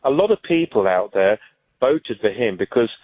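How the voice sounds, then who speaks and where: A man's voice: fairly low pitch, lively intonation, moderate pace, no room sound. A male caller speaks in a hesitant, agreeable way, stumbling a little over his words. His voice comes down a thin, tinny phone line and sounds dry. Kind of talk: phone-in talk.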